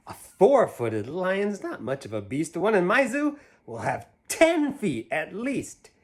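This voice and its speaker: A man voicing an eager young boy from a children's picture book, boasting excitedly in sing-song rhyme. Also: high voice, measured pace, voice pushed up, exaggerated voice. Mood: excited, boastful. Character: eager young boy dreaming up his own zoo.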